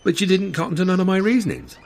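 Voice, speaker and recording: A gruff old-timey street character for a comedy audio drama. His voice is gravelly and rough, swinging wildly in pitch as he blusters in folksy, antique dialect. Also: huge pitch swings, fast talker, full character voice. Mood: grumbling, defensive. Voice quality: gravelly, raspy.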